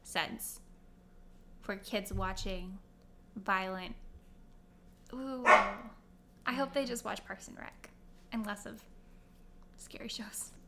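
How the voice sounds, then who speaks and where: A young adult woman from Kentucky and Ohio, co-hosting a podcast with animated, playful chat and quick laughter. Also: mid-range voice, gravelly, very noisy. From Kentucky and Ohio, USA. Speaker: podcast co-host. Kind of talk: casual chat.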